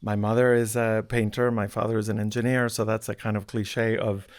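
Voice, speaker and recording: A middle-aged man from Spain, a professor talking thoughtfully in an interview, often pausing and restarting his sentences. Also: on the low side, level tone. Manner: thoughtful, halting, frequent restarts. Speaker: architecture professor, guest. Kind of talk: casual interview conversation.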